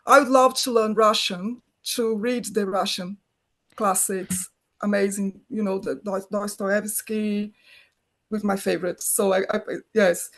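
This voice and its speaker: A woman's voice: medium pitch, fairly even intonation, unhurried, a bit bright. A middle-aged woman from Brazil, a native Portuguese speaker, talking as a filmmaker guest in an interview, often restarting her phrases as she searches for words. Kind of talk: conversational interview.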